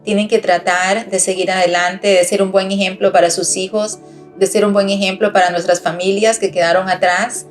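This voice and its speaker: A woman's voice: mid-range voice, little pitch movement, quick pace, faint music behind. A middle-aged woman from Costa Rica with a Costa Rican accent, giving an earnest, encouraging personal testimony over a video call. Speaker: guest speaker.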